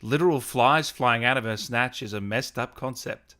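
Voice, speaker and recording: Bright-voiced man hosting a casual interview. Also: mid-range voice, normal speed. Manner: informal, chatty, tag questions. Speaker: podcast host, interviewer. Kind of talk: casual interview, reading out viewer messages.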